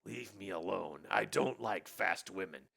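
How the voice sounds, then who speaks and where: A man voicing a terse, gruff adventurer in a low, dry delivery. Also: a little slow, fairly bright tone, heavily acted.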